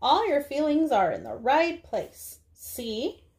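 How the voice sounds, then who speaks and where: A young woman, a preschool teacher, speaking to small children in a deliberate read-aloud style with drawn-out words. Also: high voice, wildly swooping pitch, darkish tone. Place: small indoor room.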